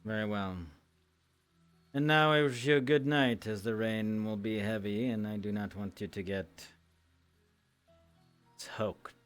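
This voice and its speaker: A man's voice: fairly low pitch, steady tone, unhurried, long pauses, fairly bright tone, smooth, soft background music. A man playing a formal, polite guildmaster in a fantasy roleplay game. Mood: courteous, businesslike. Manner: courteous, formal phrasing.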